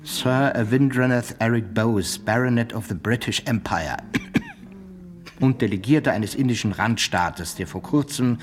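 An actor playing a fussy, dignified hospital doctor in a German radio play, formal and touchy, often clearing his throat. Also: mid-range voice, unhurried, lightly acted.

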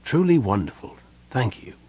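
A man voicing a big, gentle old innkeeper, fatherly and frail, speaking softly and haltingly with a breathy, earnest delivery. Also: mid-range voice, sing-song, pitched above the performer's own voice. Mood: puzzled, kindly.

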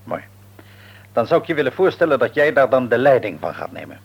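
A shrewd middle-aged businessman in a Dutch radio drama, with a Netherlands accent. His voice is husky and moves animatedly up and down. He probes and patronises, jovial on the surface and domineering underneath. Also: medium pitch, very animated, brisk, highs cut off, full character voice. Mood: brisk, businesslike. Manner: probing, patronising, wordy. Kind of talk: Dutch radio play acting.

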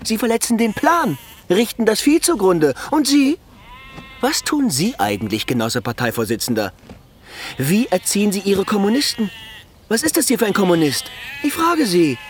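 Hectoring prosecutor with sharply swinging pitch. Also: male voice, big pitch swings, normal speed. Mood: accusing, scornful. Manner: hectoring, interrogating. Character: accusatory male Soviet district prosecutor, hectoring and contemptuous. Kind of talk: radio drama acting.

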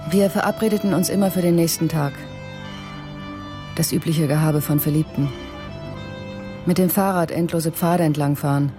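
An actress playing a gentle, reflective woman newly in love in a radio drama. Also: low-pitched, fairly even intonation, moderate pace, pauses often, music in the background, natural voice. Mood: tender, reminiscing. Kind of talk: literary radio drama monologue.

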